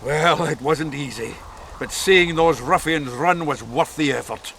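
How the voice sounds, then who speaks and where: An actor playing a gruff, foul-mouthed dwarf commander in a fantasy video game, blunt and crude. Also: male voice, fairly high pitch, a little slow, a bit bright, a little hiss or hum. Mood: sardonic, boastful. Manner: blunt, crude, barking orders.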